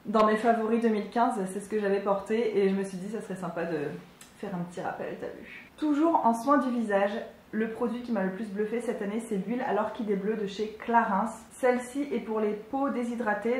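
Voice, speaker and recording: A woman's voice: mid-range voice, a little hiss or hum. Chatty young woman in an echoey room. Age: 20s. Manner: chatty, enthusiastic, many fillers. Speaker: beauty vlogger. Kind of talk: casual product review.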